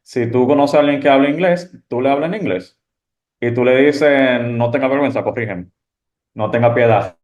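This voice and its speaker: A man's voice: mid-range voice, normal speed, darkish tone, echoey room, muffled recording. A young man from the Dominican Republic with a Dominican accent, giving upbeat, encouraging advice in a casual panel chat. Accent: Dominican Spanish. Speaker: incoming medical resident.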